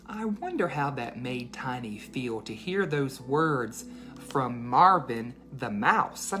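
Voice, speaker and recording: A woman's voice: extremely low pitch, lively intonation, takes it slowly, a bit bright, faint music behind. A woman hosting a children's read-aloud, narrating a storybook in a teacherly way and putting questions to listeners.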